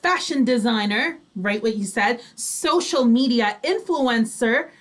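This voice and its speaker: A woman co-hosting a fashion talk show, chatting casually in an animated, emphatic way. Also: on the high side, a little slow. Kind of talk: casual chat.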